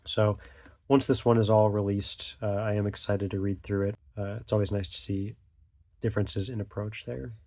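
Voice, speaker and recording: A man's voice: on the low side, flat delivery, moderate pace, warm tone. Stuffy, hesitant young man rambling. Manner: hesitant, many fillers and trailing thoughts. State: stuffy from a cold. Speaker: channel host. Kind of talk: unscripted casual vlog rambling.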